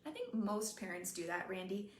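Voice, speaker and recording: A woman reading the part of a spirited, caring teenage girlfriend, teasing and unhurried, in an echoey room. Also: mid-range voice, animated, natural voice. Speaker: reader.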